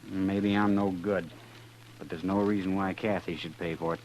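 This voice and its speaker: An actor playing a bitter, defiant young jailed outlaw in a 1950s radio western, terse and sullen in delivery. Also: male voice, sits low, steady tone, normal speed, a little mellow, close to an everyday voice. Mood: urgent, pleading. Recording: noisy 1950s radio recording with hum.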